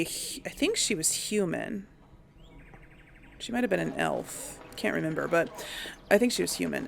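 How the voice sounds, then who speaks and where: A woman's voice: lowish voice, gravelly, a bit bright. A woman giving casual, rambling video game commentary, thinking aloud hesitantly and poking fun at herself. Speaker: gamer, let's play host.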